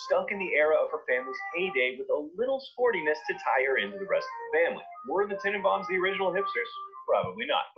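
Lively American male countdown narrator, higher-pitched, punchy and irreverent, on lo-fi audio. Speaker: film countdown video narrator.

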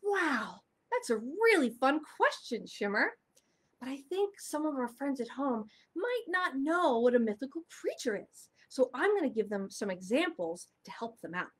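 A woman's voice: sits high, sing-song, normal speed, slightly rough. A young woman hosting a children's storytime, speaking expressively and enunciating for little listeners. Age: young adult. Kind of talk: animated read-aloud for young children. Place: small furnished room indoors.

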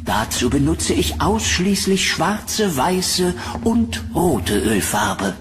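An actor playing an eccentric, menacing painter in a German horror audio drama, theatrical, flattering and insistent. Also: male voice, on the high side, slow pace, over music. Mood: brooding pride. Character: eccentric, menacing male painter of macabre nightmare art.

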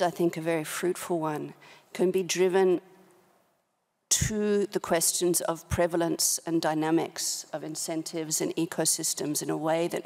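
A middle-aged woman speaking as a panelist at a policy forum, measured and halting, with formal vocabulary, into a desk microphone. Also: lowish voice, vocal fry, a little mellow. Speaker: panel speaker, company human rights director. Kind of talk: prepared remarks at a policy forum panel, spoken off the cuff. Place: large indoor conference hall. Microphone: desk gooseneck microphone, close.

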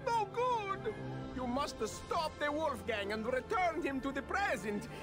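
An actress playing a worried, earnest villager briefing heroes in a video game, with broken grammar. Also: female voice, high voice, big pitch swings, unhurried, over loud music. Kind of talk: animated video game character acting.

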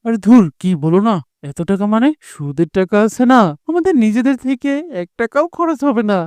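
A man's voice: very high voice, very animated, brisk, a little mellow, clean tone, dry recording. A man playing a greedy, domineering village moneylender in a cartoon, bossy and scolding. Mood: grumbling, bossy. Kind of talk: Bengali cartoon voice acting.

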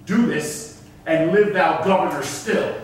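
A man's voice: on the high side, slow, far from the mic. A man acting a dignified governor, speaking formal Elizabethan stage verse in an emphatic, declamatory way. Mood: earnest. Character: dignified governor of Malta, negotiating earnestly. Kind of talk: theatrical stage reading of Elizabethan drama. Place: concert hall stage, far camera. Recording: echoey hall from afar, faint noise.

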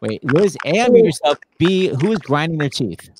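Lively host wrangling guests on a call. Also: male voice, a little high, normal speed, noisy background. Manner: lively, hurries guests along. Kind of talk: casual video call chat. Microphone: video call.

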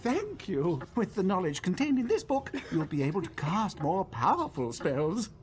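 A woman's voice: lowish voice, very animated, moderate pace, a little mellow, faint music behind. A woman playing a helpful bookshop keeper in a video game, with a theatrical, instructive manner. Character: helpful, chirpy bookshop keeper advising young wizards. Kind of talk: video game tutorial dialogue.

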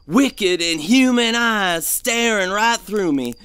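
A man's voice: high-pitched, lively intonation, slow, bright, forceful tone, clean tone, full character voice. A man playing a fearful, superstitious gold prospector with a folksy, haunted delivery in an audio drama. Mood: haunted dread.

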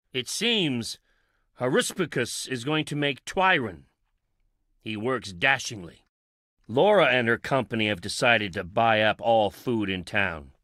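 Brooding eccentric man, video game drama, sardonic, unhurried, forceful tone, dry close recording. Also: mid-range voice, no room sound. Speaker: video game character actor.

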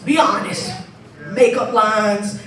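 Woman, 39, preacher, handheld mic, playful then shouting, roomy hall, distant sound. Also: medium pitch, slow. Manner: conversational preaching that builds to shouting, playful asides. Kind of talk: sermon. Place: large church sanctuary, stage. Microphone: handheld microphone. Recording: echoey hall, far mic, crowd responses. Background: congregation calling back.

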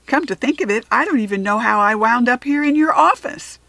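A woman's voice: on the high side, animated, fairly quick, fairly bright tone, no room sound, voice pushed up. Woman voicing a bewildered American lunch lady.

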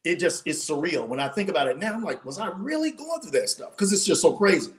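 A man in his forties, a podcast guest telling his story in an animated way with lots of restarts, his voice starting to wear out, speaking over a video call from home. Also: sits high, fast talker. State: losing his voice. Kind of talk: casual interview storytelling. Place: home room, indoors.

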